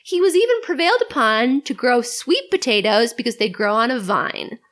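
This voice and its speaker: A woman's voice: fairly high pitch, very animated, normal speed, a bit bright. A woman talks through a story as a podcast guest. She is articulate and lightly wry, moving between prepared narration and conversational asides.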